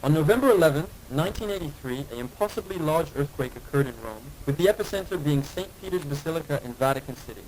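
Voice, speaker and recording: A man's voice: a little high, expressive, quick pace, few pauses, a little mellow, close to an everyday voice. A man playing an official archive announcer, reading scripted confidential narration formally for an analog horror film. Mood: formal, solemn. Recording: faintly noisy archive tape.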